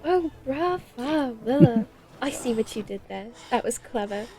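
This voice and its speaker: A woman's voice: on the high side, expressive, a little slow, warm tone. A woman playing a haughty, sardonic vampire lady of the Old West in a horror audio drama, with prim, dry, formal diction.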